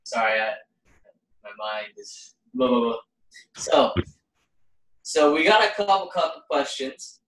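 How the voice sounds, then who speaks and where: Roomy, distant, slow-paced male interviewer. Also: mid-range voice, sing-song, a bit bright. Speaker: host, interviewer and singer. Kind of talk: casual interview chat. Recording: roomy, distant microphone pickup.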